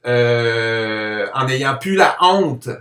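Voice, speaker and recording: A man's voice: medium pitch, animated, extremely slow, pushed and bright, soft background music. Male, Quebec accent, mild on-and-off stutter, personal testimonial, distant roomy recording. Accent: Quebec French. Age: adult. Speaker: person who stutters giving a testimonial, comic book author. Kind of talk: personal spoken testimonial to camera. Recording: roomy echo, distant microphone.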